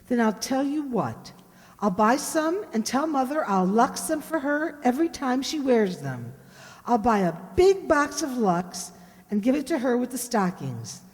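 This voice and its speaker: Woman playing an eager, high-voiced young girl. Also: sing-song, measured pace, in a large hall. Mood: eager, confiding. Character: eager, affectionate young girl in a soap commercial. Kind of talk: 1940s radio acting.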